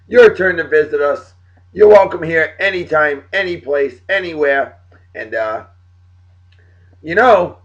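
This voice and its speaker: Hoarse, upbeat New England man. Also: fairly high pitch, a bit bright, lo-fi sound. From Massachusetts. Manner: upbeat, hype-filled and chatty, with throat clearing. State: hoarse, losing his voice. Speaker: video blog host. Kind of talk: casual beer review vlog.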